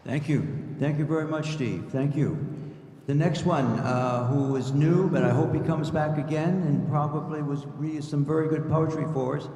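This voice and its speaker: A man's voice: fairly high pitch, moderate pace, mellow. Male poetry host in an echoey hall. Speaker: event host introducing poets. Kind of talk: informal spoken introductions at a live event. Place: large reverberant room, live event. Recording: echoey hall with background noise.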